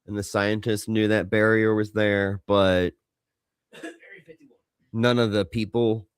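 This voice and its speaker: A man with a low-pitched voice talks as a podcast co-host. He thinks out loud in an informal, sweary way, and the sound is dry with no room to it. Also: little pitch movement, slow pace, smooth, dry recording. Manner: informal, swearing, musing aloud. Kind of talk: casual pop culture podcast banter.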